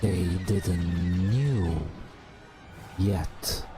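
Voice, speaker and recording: A man softly narrating a video game as an ASMR bedtime story, hushed and drawn out, with long pauses. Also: low-pitched. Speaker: host narrating gameplay. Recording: music behind the voice. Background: game music.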